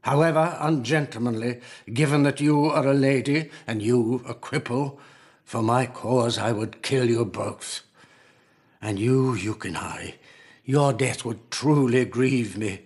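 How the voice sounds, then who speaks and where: An actor playing an old, dying lord and conspirator in a radio drama, urbane and formal, courteous but menacing. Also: male voice, medium pitch, a little mellow, husky. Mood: urbane, grave. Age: older. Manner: urbane, measured, formal, faintly mocking.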